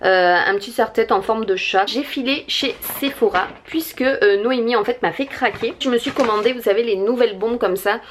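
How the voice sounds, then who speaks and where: A young woman in her late twenties chats excitedly through a casual home vlog. She talks freely with many fillers and pauses, recorded indoors by a window. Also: a little high, slight background noise. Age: 20s. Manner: chatty and enthusiastic, many fillers. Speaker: vlogger.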